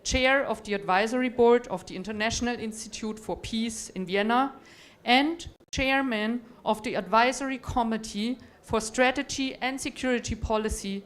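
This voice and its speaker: A woman's voice: a little high, steady tone, moderate pace. A woman from Europe moderating a university conference panel, formally introducing guests and reading out their biographies.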